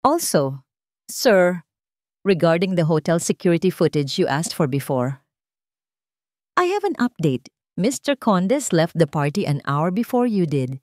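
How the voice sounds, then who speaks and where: Woman reading as a deferential Filipino businessman, lively intonation. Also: fairly low pitch, unhurried, no room sound, clean studio sound. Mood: businesslike, deferential. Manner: formal, businesslike Taglish. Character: deferential male business associate reporting by phone.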